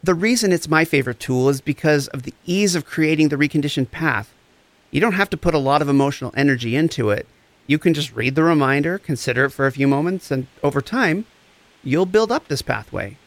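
An actor playing a gentle, encouraging empath therapist, speaking in a reassuring, deliberate way in a scripted audio drama. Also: male voice, fairly high pitch, expressive, no echo. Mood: calm, supportive. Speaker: actor in a fiction podcast.

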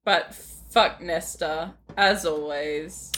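A woman's voice: deep voice, steady tone, extremely slow, a bit bright. A woman co-hosting a casual podcast book discussion, jokey and slangy, often acting out characters' lines. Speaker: podcast co-host.

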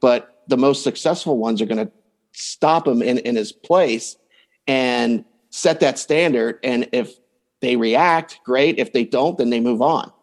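Man, relationship coach in podcast conversation; explains by acting out everyday lines, medium pitch. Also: measured pace. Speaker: dating coach, podcast guest.

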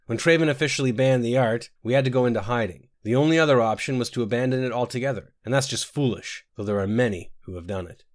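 A man voicing a stoic, mild-mannered Nord necromancer in a fantasy story, measured and matter-of-fact. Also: mid-range voice, close to an everyday voice. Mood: measured, awkward.

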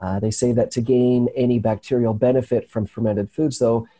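A man hosts a relaxed health segment over a video call. His voice is mellow and dark, with a slight rasp from a recent cold. He talks in an earnest, halting way, restarting his sentences often. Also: mid-range voice, rough recording. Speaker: host. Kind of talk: casual health talk show chat. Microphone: remote video call.

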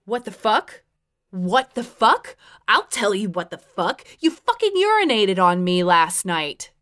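A woman narrator playing a furious, wounded wife, blunt and forceful, in dramatic audiobook dialogue. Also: on the high side, big pitch swings, brisk. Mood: furious, yelling. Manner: forceful, cursing, demanding.

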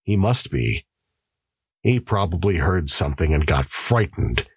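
A stern male prison warden in an audiobook, played by a male narrator, curt and probing. He sounds baffled, with a husky edge and varied pitch, recorded very dry. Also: big pitch swings, measured pace, no room sound, full character voice. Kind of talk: audiobook character acting.

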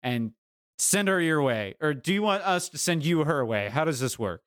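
Lively, higher-voiced man bantering. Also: normal speed, clear, resonant tone. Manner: rambling, questioning, goofy. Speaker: player in a roleplaying game podcast. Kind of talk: casual improvised in-character banter.

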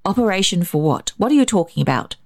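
A woman voicing a blunt, gruff but soft-hearted old man in a narrated story. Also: low-pitched, normal speed, clean studio sound, natural voice. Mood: bewildered, demanding. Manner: blunt, brusque. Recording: roomy echo, faint music.

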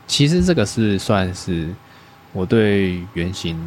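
A man answering interview questions as a guest designer, speaking hesitantly with many pauses and restarts. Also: deep voice, very animated, a little mellow, a little hiss or hum.

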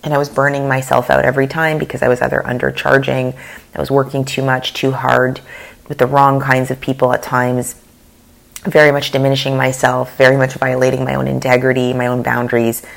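A woman's voice: very deep voice, level tone, fairly quick, vocal fry. A woman, hosting her own podcast and talking earnestly while losing her voice, with fillers and restarts. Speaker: podcast host. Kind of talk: solo podcast monologue.

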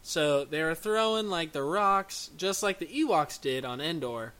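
A man co-hosting a bad-movie podcast, halting with frequent restarts in casual chat. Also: a little high, expressive, sharp tone, clear, resonant tone. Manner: halting, restarts a lot, laughs easily. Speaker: podcast co-host.

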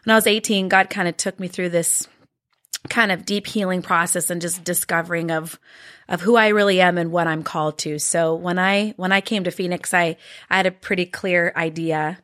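A woman in her thirties whose first language is Norwegian, speaking as a guest about her upbringing. Her voice sits fairly low, and she talks in an unhurried, reflective, thankful way. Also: steady tone, a bit bright. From Norway and Washington State. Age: 30s. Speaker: guest in ministry. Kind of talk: telling her life story in conversation.

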